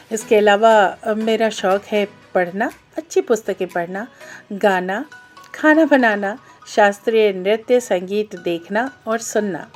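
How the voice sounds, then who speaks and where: A middle-aged woman from Bihar, a native Maithili speaker, introducing herself to listeners as a radio presenter in a scripted style. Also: mid-range voice. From Bihar, India.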